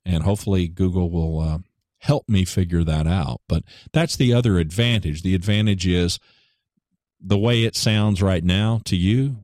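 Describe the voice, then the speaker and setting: A man with a baritone voice talking casually about studio gear, joking and correcting himself, into a studio microphone in a home studio. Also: moderate pace, darkish tone, no room sound. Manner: joking, rambling, self-correcting. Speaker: podcaster explaining studio gear. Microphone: studio microphone through hardware processor.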